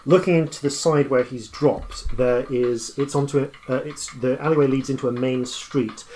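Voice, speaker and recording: Halting game master, a man, faint background noise. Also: medium pitch, fairly even intonation, darkish tone. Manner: halting, improvised delivery. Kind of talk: narrating a tabletop roleplaying game.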